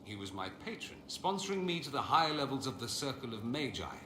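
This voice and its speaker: An actor playing a witty, proud mage in a video game, speaking in a theatrical, sardonic and articulate manner. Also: male voice, medium pitch, big pitch swings, fairly quick, close to an everyday voice. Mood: rueful. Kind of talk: dramatic video game dialogue. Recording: roomy echo, faint ambient noise.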